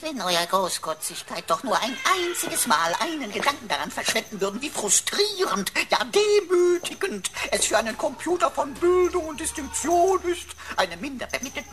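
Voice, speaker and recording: A woman's voice: high voice, big pitch swings, normal speed, few pauses, over music. A woman playing a pompous, aggrieved, sarcastic talking computer in a radio play, complaining in florid mock-courtly phrases.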